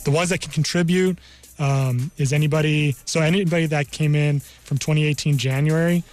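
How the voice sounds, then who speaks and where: A man's voice: on the high side, steady tone, a little fast, darkish tone. A man from New Mexico speaking as an army career counselor, explaining things earnestly in an informal radio interview. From New Mexico, United States. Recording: music behind the voice. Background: music.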